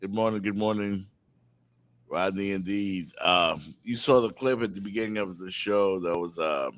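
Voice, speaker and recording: Deep-voiced man, casual morning show co-host. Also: normal speed, fairly bright tone. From Bahamas.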